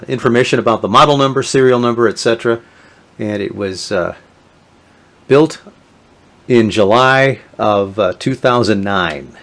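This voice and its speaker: A middle-aged man, talking casually and haltingly with many fillers as he shows off old electronics. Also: mid-range voice. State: has a cold, sniffly. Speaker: vintage electronics video host. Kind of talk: casual show-and-tell narration.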